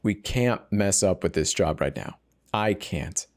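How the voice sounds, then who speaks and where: Very low-voiced man narrating horror. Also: lively intonation, unhurried. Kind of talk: dramatic first-person scripted narration. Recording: clear noise bed behind the voice.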